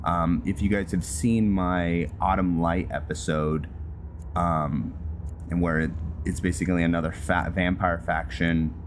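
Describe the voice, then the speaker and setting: A man doing casual video game commentary in a halting way, thinking aloud as he plays. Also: low-pitched, steady tone, a little hiss or hum. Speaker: host of a video game roleplay series. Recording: music behind the voice.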